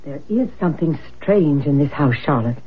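A woman's voice: low voice, expressive, moderate pace. Grave psychic-believing mother in radio drama. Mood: grave, insistent. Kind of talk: 1970s radio drama acting. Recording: muffled old radio broadcast.

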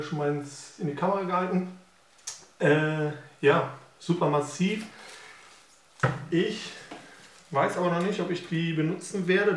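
Young male vlogger, higher voice, a little nasal from a cold, eager and rambling; distant mic, roomy, faint hiss. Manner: rambling, excitable, many filler sounds. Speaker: unboxing video host. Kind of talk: casual unboxing commentary. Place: living room at a table.

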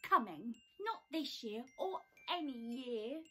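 A grumpy storybook badger, acted by a woman, grumbles in a drawn-out, slightly husky way. He is huffy, dismissive and full of doubt. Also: on the high side, expressive, sharp tone, faint music behind, full character voice.

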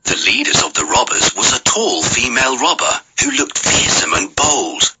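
A man narrating a children's storybook in an expressive, scripted reading style. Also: mid-range voice, moderate pace, faint music behind, rough recording.

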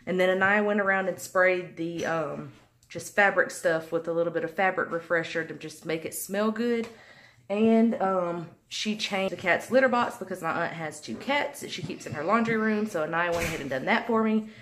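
A woman's voice: lowish voice, moderate pace, fairly bright tone. A young woman vlogging casually from her home kitchen after cleaning, talking in a rambling way full of ums, hot and sweaty. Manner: chatty, rambling with many ums. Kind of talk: casual cleaning vlog chat. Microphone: phone camera close up.

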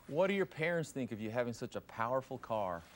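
A man's voice: medium pitch, big pitch swings, fairly quick. A middle-aged American man hosting a show with a casual on-location interview style in an open garage. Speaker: TV show host. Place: open home garage and driveway. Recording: clearly noisy outdoor location sound.

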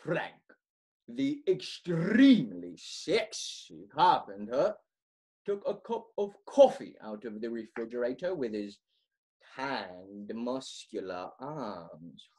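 A man performing a theatrical comedic monologue as a pompous, melodramatic amateur writer with a husky voice and dramatic pauses. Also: mid-range voice, exaggerated voice. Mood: smug lecturing. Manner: smug, animated, chuckling, dramatic pauses.

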